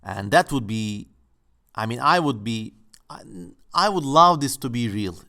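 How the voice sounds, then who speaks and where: Guy rambling playfully about stuff from home, mid-pitched, joking around. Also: lively intonation, measured pace, bright, forceful tone. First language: Turkish. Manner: playful, musing, tongue-in-cheek. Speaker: channel host. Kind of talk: casual exploratory commentary.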